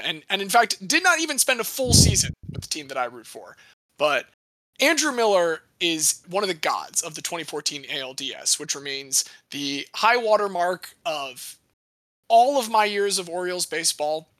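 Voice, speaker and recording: A young man talks sports as a podcast host, quick to joke and swear. His voice sits high and sounds bright and forward. The recording is dry, with no room sound. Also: no room sound, poor audio. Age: young adult. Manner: animated, jokey, swears casually. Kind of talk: casual sports chat.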